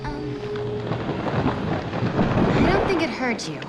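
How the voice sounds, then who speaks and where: An actress playing an earnest, gentle young heroine in a video game cutscene. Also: female voice, high-pitched, sing-song, takes it slowly, over loud music, full character voice, rough recording. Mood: concerned.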